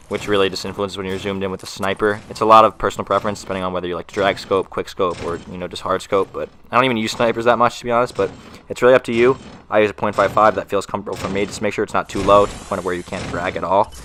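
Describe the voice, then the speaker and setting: A man's voice: lowish voice, steady tone, very fast, a bit bright, slight background noise. A young man with a stuffy nose from a cold, talking loosely through a gaming tutorial voice-over with many fillers and restarts. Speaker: gaming YouTuber.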